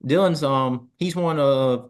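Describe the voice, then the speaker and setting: A man's voice: medium pitch, slow, clean tone. A man of twenty chatting informally as an interviewer and co-host, often saying "you know". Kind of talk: casual interview chat.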